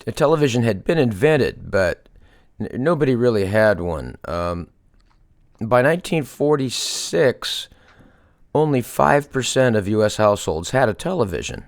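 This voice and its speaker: A man's voice: medium pitch, sing-song, normal speed, vocal fry, no room sound, studio quality. A man giving a casual, unscripted show-and-tell as a hobbyist presenter, hesitantly thinking aloud as he goes. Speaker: hobbyist restorer presenting a project.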